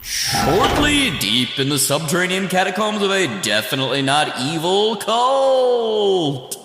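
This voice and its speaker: A man's voice: sits high, big pitch swings, measured pace, full character voice. A man acting a melodramatic, tongue-in-cheek narrator of a radio serial. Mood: bombastic, ominous. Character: melodramatic old-time serial narrator, male. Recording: long echoing reverb, loud music bed.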